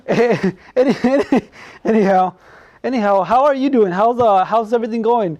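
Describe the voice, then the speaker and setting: A man's voice: high voice, normal speed, darkish tone. A man hosting a comedic talk show in a casual, joking way, often repeating himself.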